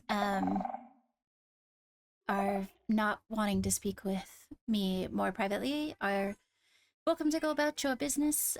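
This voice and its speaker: A woman playing a composed, courteous court leader in an improvised fantasy game roleplay, speaking in a measured conversational way. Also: a little high, vocal fry, a little mellow. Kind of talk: improvised Minecraft roleplay in character.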